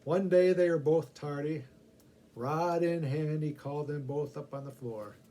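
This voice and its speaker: Slightly high-voiced man reading stories. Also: a little slow, dark tone. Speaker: story reader. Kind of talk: storybook reading with casual asides. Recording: faint background noise.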